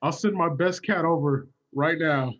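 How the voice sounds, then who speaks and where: A man playing a priest with a halting, casual delivery in an improvised comedy sketch. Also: fairly high pitch, fairly bright tone, muffled recording. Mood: puzzled, helpful.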